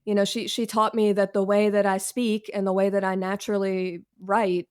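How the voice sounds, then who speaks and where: A woman author speaks as an interview guest in a conversational, informal way. Her pitch sits in the middle for a woman. She is candid about herself, with a wry, self-deprecating turn to her phrasing. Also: level tone, normal speed, smooth. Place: cluttered room at home, on a video call. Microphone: video call.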